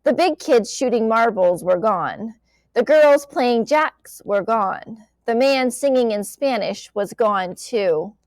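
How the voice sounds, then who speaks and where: Woman, children's read-aloud, lively intonation, fairly high pitch, expressive storytelling. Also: takes it slowly, no echo, poor audio. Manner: animated character dialogue between plain narration. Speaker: reader reading a children's book aloud.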